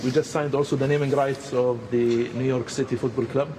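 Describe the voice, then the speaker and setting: A man, a business executive, making halting formal remarks at a meeting. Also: medium pitch, level tone. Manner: halting, with fillers. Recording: noisy clip with background clatter.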